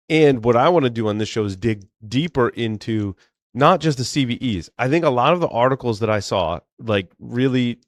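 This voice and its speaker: A man hosting a casual tech podcast, talking in an animated way with many restarts and asides. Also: on the low side, no room sound, studio quality. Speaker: podcast host.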